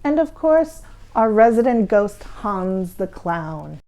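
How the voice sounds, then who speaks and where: A woman's voice: mid-range voice, big pitch swings, slow. A woman storyteller reading a spooky children's book as a theatrical hostess, dramatic and playful. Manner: dramatic, playful, hushed for suspense.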